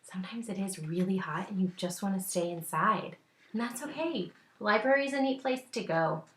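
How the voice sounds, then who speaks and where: A woman teacher reading a picture book aloud expressively, pausing to put simple questions to young listeners. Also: medium pitch, reverberant.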